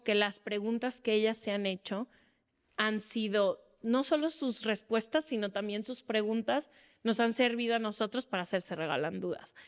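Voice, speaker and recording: A woman's voice: medium pitch, clear, resonant tone. A woman with a Mexican accent hosting a conversational interview. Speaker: podcast host.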